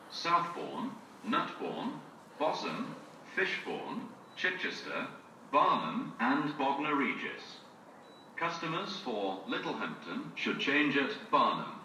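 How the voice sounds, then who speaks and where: A British man reading scripted train announcements formally, in separate phrases, over railway station loudspeakers. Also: mid-range voice. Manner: formal, segmented list reading with varied intonation. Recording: reverberant distant station tannoy, noisy.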